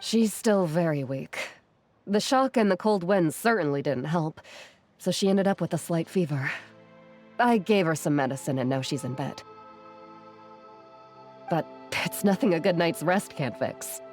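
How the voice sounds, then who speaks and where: A woman playing a gentle, caring, modest retired artisan in a video game, soft-spoken and measured. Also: on the low side, animated, soft background music. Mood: apologetic, tender.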